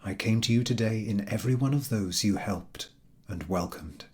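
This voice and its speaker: Man as a hushed, solemn, gentle divine spirit. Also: lowish voice, breathy edge. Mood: tender, solemn. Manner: hushed, tender, measured. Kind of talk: storybook character acting.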